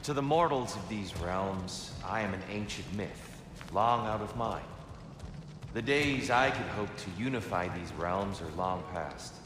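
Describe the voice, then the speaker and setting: A man playing a wise, patient ancient god who mentors a warrior in a video game, speaking in a measured, formal way. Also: fairly low pitch, lively intonation, noisy background. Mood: solemn, earnest. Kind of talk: dramatic video game acting. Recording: echoey long-reverb hall, loud music behind.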